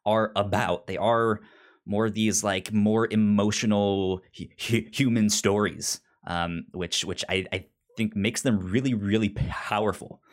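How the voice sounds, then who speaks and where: A man's voice: fairly low pitch, fairly even intonation, unhurried. A man hosting a casual podcast, chatting and reading announcements, sometimes tripping over and repeating words. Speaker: podcast host. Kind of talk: casual podcast interview and sponsor read.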